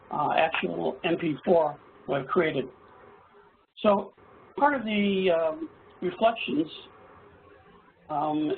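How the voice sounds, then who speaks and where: A middle-aged hoarse man, a college instructor, presenting haltingly with many fillers in an online workshop. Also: on the high side. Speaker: college instructor presenting his action plan. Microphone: video call. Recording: tinny, phone-like call audio with faint noise.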